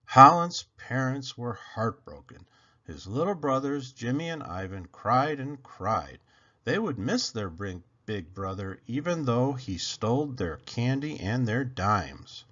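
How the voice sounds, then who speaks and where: Animated man reading a storybook, dull sound. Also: medium pitch, slow. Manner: expressive, dramatic emphasis, questions put to young listeners. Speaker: storyteller reading picture books aloud, channel host. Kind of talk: animated children's picture-book read-aloud.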